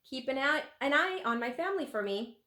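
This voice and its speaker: A woman's voice: high voice, lively intonation, fairly quick, sharp tone, voice pushed up. A woman voicing a caring, slightly anxious mother in a children's storybook. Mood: friendly. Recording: roomy, echoing room sound.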